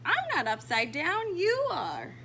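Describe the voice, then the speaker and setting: Woman acting out an earnest, high-voiced young girl bat. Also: wildly swooping pitch, slow, bright, forceful tone, slight background noise, exaggerated voice. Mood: confused. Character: clumsy, earnest young female fruit bat. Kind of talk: children's storybook character voice.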